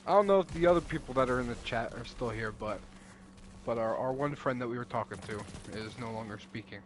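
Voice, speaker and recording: A man's voice: medium pitch, fairly quick, noisy background. A man chatting casually while gaming, wry and teasing, often trailing off mid-thought. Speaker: streamer.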